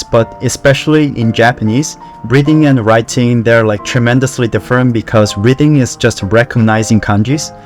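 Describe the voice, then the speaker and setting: Korean guy vlogging, laid back, "you know" here and there, faint music. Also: mid-range voice. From South Korea. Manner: relaxed, conversational, with fillers like "you know" and "like". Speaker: language learning vlogger. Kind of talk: casual talk to camera.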